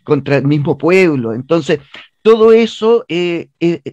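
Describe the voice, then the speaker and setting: A man's voice: a little high, animated, a little slow, darkish tone, dry recording. A man with a Chilean accent giving a radio interview as a guest, speaking earnestly and emphatically, repeating words as he argues, on lo-fi audio. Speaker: theatre director, interview guest.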